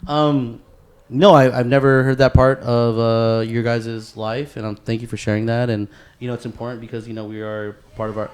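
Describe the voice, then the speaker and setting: A man hosts a casual podcast at a middling pitch. He rambles through his thoughts in informal slang and swears freely as he goes. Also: flat delivery, normal speed, a little mellow, clean tone. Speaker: podcast host.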